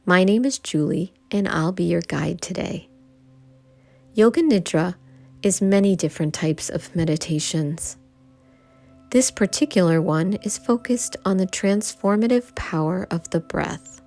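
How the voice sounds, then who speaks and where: A woman guiding a yoga nidra meditation, giving gentle instructions in short phrases with pauses between them. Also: on the low side, creaky. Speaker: meditation guide. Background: background music.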